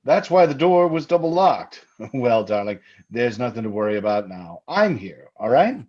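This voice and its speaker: A man playing a soothing, patronizing, insistent husband with quiet menace in a radio suspense drama. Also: medium pitch, big pitch swings, moderate pace. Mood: soothing, probing. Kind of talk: old-time radio suspense acting. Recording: slightly dull, lo-fi sound.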